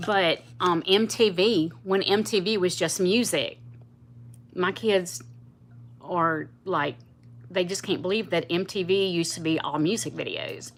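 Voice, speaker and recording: A woman's voice: on the low side, measured pace, a little hiss or hum. A woman, chatting casually and rambling on a livestream with viewers. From South Louisiana, US. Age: 50s. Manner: chatty, rambling, laughs easily. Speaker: livestream host.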